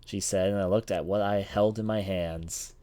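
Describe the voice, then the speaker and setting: A man's voice: low voice, steady tone, moderate pace, warm tone. A man reading a visual novel aloud as a let's play commentator, telling it dramatically and sometimes stumbling over words. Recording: music behind the voice. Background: visual novel music.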